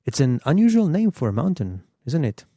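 Mellow-voiced male radio interviewer. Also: on the high side, big pitch swings, dry recording, studio quality. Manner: measured, attentive questioning. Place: radio studio.

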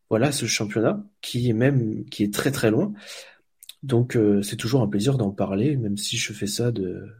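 Brief: man from France, interview guest, husky voice, halting delivery full of "euh" and restarts, mid-pitched. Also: darkish tone. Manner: hesitant, many fillers and restarts. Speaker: guest, volunteer football blogger. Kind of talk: casual interview answers.

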